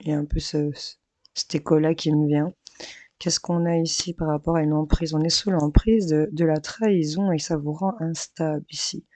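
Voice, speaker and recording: A hoarse woman losing her voice works through a daily tarot horoscope in a chatty, hesitant way, calling her viewers friends. Her delivery stays flat and even, with little rise or fall. The sound is dry and close, with no room echo, though the mic sits a little farther off. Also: sits low, normal speed. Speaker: tarot card reader. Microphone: mic placed farther away.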